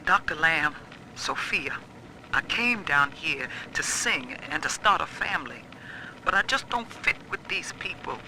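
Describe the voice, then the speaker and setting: An actress playing a wounded singer who confides earnestly and plaintively in a game audio diary. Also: female voice, low voice, animated, unhurried, noisy background. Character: wounded, out-of-place singer longing for family.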